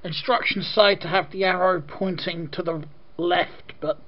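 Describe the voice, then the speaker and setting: Croaky British man reviewing toys. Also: high-pitched, steady tone, unhurried, dull audio. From Britain. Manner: chatty, rambling with frequent ums and throat clearing. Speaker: toy reviewer. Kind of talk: casual product review. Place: indoor room at a desk with toy display.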